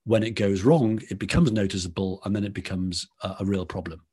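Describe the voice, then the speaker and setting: A man's voice: sits low, steady tone, a little fast, mellow, breathy edge. A man with a Liverpool accent, a podcast guest explaining at length in conversation and often restarting his phrases. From Liverpool, England.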